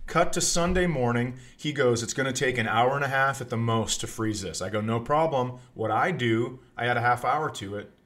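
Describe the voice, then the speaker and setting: Middle aged guy, podcast host, medium pitch, casual funny rambling stories w swearing. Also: normal speed, hardly any pauses, a bit bright. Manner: rambling, profane anecdotes. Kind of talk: casual comic storytelling.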